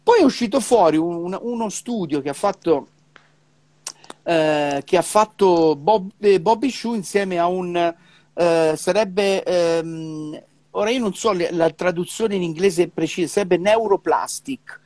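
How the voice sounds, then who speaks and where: High-voiced middle-aged man, halting speech. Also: darkish tone, no echo. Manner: halting, repeats syllables as he searches for words. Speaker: professional trumpeter. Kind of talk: casual conversation about music practice. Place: indoor room, remote video call. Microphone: wired earphone mic on a video call.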